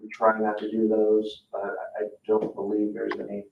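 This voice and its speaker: A man's voice: on the low side, completely flat delivery, moderate pace, vocal fry. A man presenting to a board in an informal, explanatory way, sometimes hesitant in his phrasing. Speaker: town staff member presenting to a board. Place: indoor public meeting room. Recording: muffled, echoey meeting room, distant mic, hiss.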